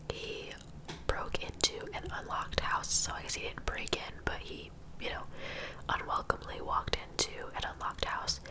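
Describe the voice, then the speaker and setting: A woman narrating true-crime ASMR in a soft, breathy whisper, with an informal, conversational manner. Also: sits high, rough recording.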